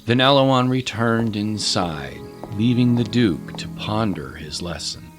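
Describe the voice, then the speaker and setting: A man's voice: lowish voice, expressive, slow. A man narrating a scripted fantasy story in a descriptive, literary style. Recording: prominent music behind.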